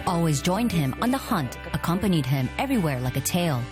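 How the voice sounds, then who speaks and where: A woman reading a scripted documentary voice-over with an expressive delivery. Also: lowish voice, fairly quick. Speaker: voice-over translator. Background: music.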